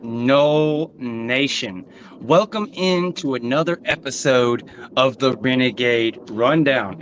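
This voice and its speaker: A man's voice: mid-range voice, a bit bright, lo-fi sound, a little hiss or hum. A man hosting a casual sports talk show, rambling with fillers and restarts. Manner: loose, rambling, filler-heavy. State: sweaty, rushed. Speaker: podcast host.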